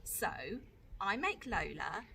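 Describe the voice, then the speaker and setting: A woman reads a children's story expressively to young listeners. Her voice is bright and fairly high, with lots of rise and fall. There is some noise behind her. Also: sing-song, slow, vocal fry. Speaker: teaching assistant reading aloud.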